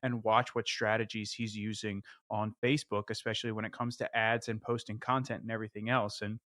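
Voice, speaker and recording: A man's voice: on the low side, flat delivery, brisk, a bit bright, no room sound. Dry-sounding man, hesitant podcast host. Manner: hesitant, repeats words, enthusiastic. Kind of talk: casual interview conversation.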